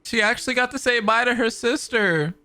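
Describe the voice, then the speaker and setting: A man's voice: very high voice, brisk, sharp tone, no echo. A man doing casual commentary as he reacts to a video game and reads bits aloud. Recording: game music behind the voice.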